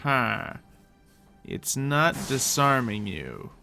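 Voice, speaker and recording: A man's voice: mid-range voice, big pitch swings, very slow, vocal fry, music in the background. A man giving casual, improvised gameplay commentary in character, chatting to himself and thinking aloud as he goes. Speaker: gaming video host playing in character.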